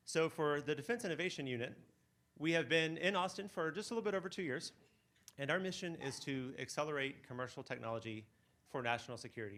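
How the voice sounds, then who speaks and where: A man's voice: medium pitch, fairly bright tone. A man hosting an event, giving earnest opening remarks to an audience. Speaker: event host for a defense innovation office. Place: event space.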